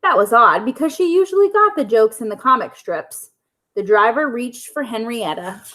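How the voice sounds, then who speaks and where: A woman with a fairly high voice tells a story for children. She reads aloud as a school storyteller. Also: expressive, normal speed, a bit bright, a little hiss or hum.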